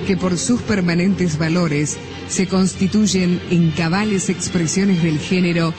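Man, Argentine accent, formal radio announcer, high voice, declamatory, slow delivery, music behind. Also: fairly even intonation. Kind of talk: formal announcement of title and cast.

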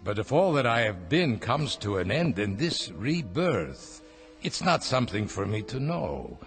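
An actor playing a weary, anxious older husband in a radio drama, speaking in a halting, searching way. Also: male voice, medium pitch, sing-song, faint music behind. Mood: weary, pondering. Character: weary, anxious old husband longing for relief.

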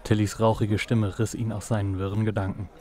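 A male narrator reads a noir audio drama from a script. His voice is on the low side, in the manner of detective fiction storytelling. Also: level tone, brisk, warm tone.

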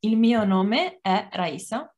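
A woman's voice: medium pitch, animated, takes it slowly, darkish tone, muffled recording. A woman in her thirties with an Italian accent, casually explaining as a language helper. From Italy. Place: small home radio room, indoors. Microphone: large desk microphone, video call.

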